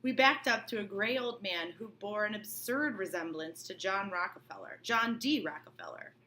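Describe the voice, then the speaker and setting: A woman's voice: medium pitch, moderate pace, pushed and bright. A woman reading a novel aloud as a teacher, expressively, breaking off for informal explanatory asides. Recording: clear background room noise.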